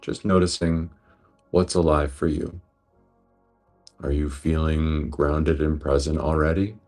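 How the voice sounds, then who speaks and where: A man guiding a breathwork meditation in a gentle, unhurried, reassuring manner. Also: rumbling low, fairly even intonation, soft background music. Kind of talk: guided meditation instruction.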